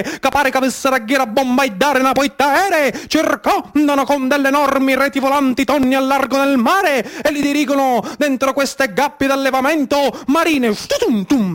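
A man's voice: very high-pitched, a bit bright. A man with a Sicilian accent performing a theatrical narrative monologue, declamatory and incantatory, with repeated phrases and dialect. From Sicily, Italy. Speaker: storyteller, stage actor.

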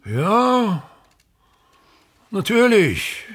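Slow, pompous embittered old stage actor. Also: male voice, high-pitched, very animated, heavily acted. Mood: indignant, sarcastic. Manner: grandiloquent, declaiming, self-pitying, repeats phrases. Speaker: actor in a radio play. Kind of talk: theatrical German-language radio drama monologue.

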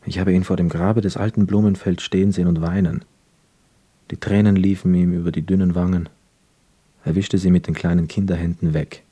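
An actor playing a poor, wistful young man who narrates a literary radio drama in a measured, reflective manner. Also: sits low, level tone, breathy edge. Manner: measured, reflective, hushed inner asides.

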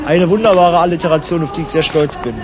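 An easygoing, self-satisfied traveller played by a man with a Berlin accent and a high voice. He talks in halting, colloquial radio play banter, childishly pleased with himself, over clear ambient noise. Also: lightly acted. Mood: playful, bickering.

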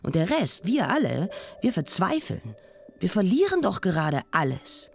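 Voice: mid-range voice, huge pitch swings, a little slow, creaky, a little hiss or hum, exaggerated voice. An actor playing a searching, anguished intellectual discussant in a radio drama, probing and emphatic. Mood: despairing.

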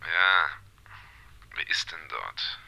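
A man's voice: rumbling low, sing-song, drawn-out words, gravelly, sharp tone, dull audio, heavily acted. An actor playing an irritable, dismissive man in a radio drama, grumbling brusquely through a telephone effect. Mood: irritated, sleepy. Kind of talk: German radio play acting.

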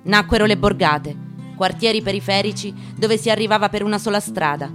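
A woman reading literary prose dramatically, in deliberate, emphatic short phrases. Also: mid-range voice. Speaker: stage actress reading from a book. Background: music behind the voice.

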